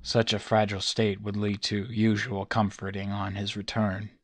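Low, monotone, solemn scientist played by a man. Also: no room sound, close to an everyday voice. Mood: somber. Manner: measured, solemn, formal. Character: weary, detached male scientist narrating his log. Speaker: narrator and character in a fan comic dub. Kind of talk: scripted dramatic narration.

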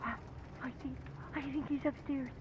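A woman playing a frightened, hesitant young girl on an emergency call in a video game, pleading and halting. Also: sits high, steady tone, lo-fi sound, a little hiss or hum, natural voice. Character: frightened young girl calling police dispatch.

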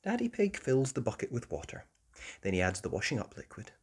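A woman reading a children's storybook aloud as host, in expressive narration. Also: rumbling low, brisk, husky. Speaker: storybook reader, channel host.

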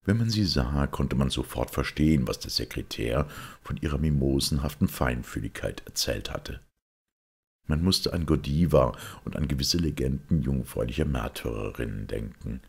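Male audiobook narrator, rock-bottom register, heavy gravel, expressive and measured literary storytelling. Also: rumbling low. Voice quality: gravelly, husky. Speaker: audiobook reader.

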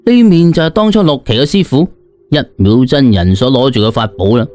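A man's voice: medium pitch, expressive, a little fast, a little mellow. A man narrating a story as an audiobook storyteller in colloquial, slangy first person, dramatizing it expressively. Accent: Cantonese. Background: background music.

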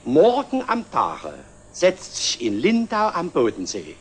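An actor playing a fussy, over-polite but insistent landlord in a 1960s German TV drama, formal and hesitant. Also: male voice, fairly high pitch, huge pitch swings, takes it slowly, husky, heavily acted. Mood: politely insistent. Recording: slightly noisy old 1960s TV soundtrack.

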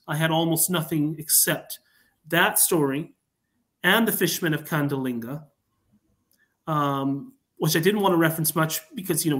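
A man talking enthusiastically as a writer guest on an online panel chat, telling his story in an animated way. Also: fairly high pitch. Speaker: podcast guest, fan writer. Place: remote online call.

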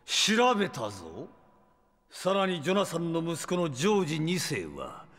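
A man's voice: on the high side, wildly swooping pitch, fairly bright tone, heavily acted. Male anime drama villain: arrogant vampire, theatrical, wildly swinging delivery, long reverb. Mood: cold, triumphant. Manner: theatrical, sneering, drawn-out words, maniacal. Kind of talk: anime audio drama acting.

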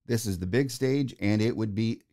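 American guy hosting a sports interview, deepish relaxed voice, chatty. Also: moderate pace, creaky. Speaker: podcast host, interviewer.

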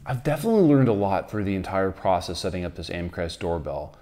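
A man's voice: low voice, very animated, quick pace, mellow. A man presents an explanatory tech video to camera. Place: quiet living room, indoors. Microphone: lapel microphone.

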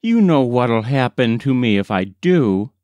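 A man's voice: mid-range voice, big pitch swings, measured pace, darkish tone, dry recording. A man reading a storybook voices a weary, troubled, stubborn lumberjack. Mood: agitated, defiant.

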